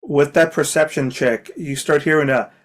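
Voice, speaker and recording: Halting man running a tabletop game. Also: a little high, fairly even intonation. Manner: loose, halting narration with many fillers. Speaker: game master.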